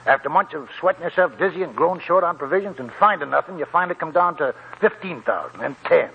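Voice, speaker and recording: An actor playing a talkative, wry old gold prospector in a 1940s radio drama, raspy and folksy, rambling like a storyteller. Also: male voice, a little high, lively intonation, quick pace, slight background noise. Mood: sly, animated. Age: older. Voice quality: chuckling, raspy old man's voice. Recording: muffled 1940s radio broadcast with music.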